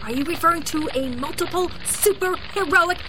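A woman's voice: extremely high pitch, a little fast, background noise. A woman playing an eager television news reporter calling out questions at a mock press conference. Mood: eager, probing. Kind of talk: mock press conference in a comedic audio drama.

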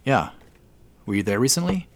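A man's voice: medium pitch, sing-song, moderate pace, gravelly. An actor playing an awkward, modest businessman in his late thirties, speaking hesitantly in an audio drama. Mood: tentative. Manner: hesitant, self-deprecating. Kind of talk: naturalistic audio drama dialogue.

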